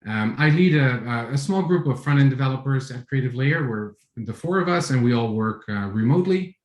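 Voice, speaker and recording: A man in his forties from the Netherlands with a Dutch accent, giving a relaxed tech talk over a video call, with many fillers and restarts. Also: mid-range voice, fairly quick, echoey room. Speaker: conference speaker. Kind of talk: online tech talk with screen share.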